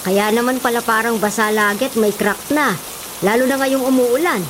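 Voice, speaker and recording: Actress as higher-voiced Filipino mother, gently plaintive. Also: moderate pace, noisy background, close to an everyday voice. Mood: concerned. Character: concerned, gentle middle-aged mother of grown sons. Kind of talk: Filipino radio drama acting.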